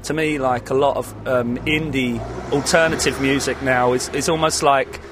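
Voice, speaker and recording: A man's voice: medium pitch, fairly even intonation, moderate pace, background noise. A man giving a casual interview as a musician, rambling and hesitant, often saying "you know". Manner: rambling, hesitant, peppered with 'you know'.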